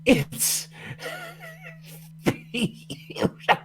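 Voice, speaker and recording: A man voicing a mad, possessed neighbor in a horror radio drama, with a croaking, gurgling, unhinged and menacing delivery. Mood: awestruck. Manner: drawn-out, unhinged, swooping delivery.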